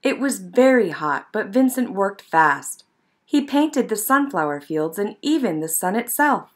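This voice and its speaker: A woman narrating a children's picture book in an expressive, measured storytelling manner. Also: mid-range voice. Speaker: storybook reader.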